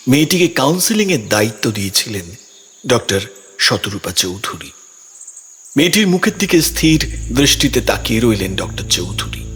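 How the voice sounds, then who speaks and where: A man's voice: mid-range voice, a bit bright, breathy edge. A man narrating a scripted audio story in a dramatic storytelling style. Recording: music behind the voice.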